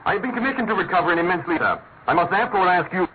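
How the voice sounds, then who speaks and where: An actor playing a shrewd, imperious master detective in a classic radio drama, incisive and urgent. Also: male voice, sits high, lively intonation, racing. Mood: stern. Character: brisk, commanding, shrewd male master detective. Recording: tinny, narrow-band old radio, faint noise.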